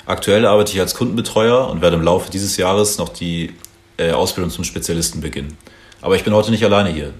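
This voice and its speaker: A young man in his twenties speaks with a very low voice as he co-hosts a podcast. He is relaxed and friendly, with casual filler words. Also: a little fast. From Hamburg, Germany. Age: 20s. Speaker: podcast co-host.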